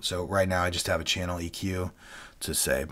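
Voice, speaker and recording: Sick man with a low voice explaining. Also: moderate pace. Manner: relaxed, chatty, explains step by step. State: sick, voice lower than usual. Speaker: video creator and worship leader hosting a livestream. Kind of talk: casual how-to livestream talk. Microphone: desk microphone, webcam video.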